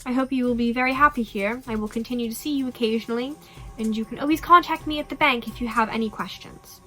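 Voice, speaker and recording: A woman's voice: a little high, fast, pushed and bright, faint music behind, low-quality recording. A woman voicing a stern, proper male banker who looks after the children. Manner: formal and stern, with coughing.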